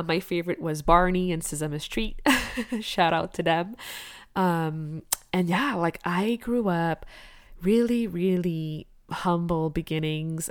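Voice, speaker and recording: French-speaking young woman, smooth low-ish voice, motivational podcast host talking about her life. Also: no echo, studio quality. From Quebec, Canada. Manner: upbeat, motivational, candid. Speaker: podcast host, business coach. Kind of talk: casual solo podcast monologue.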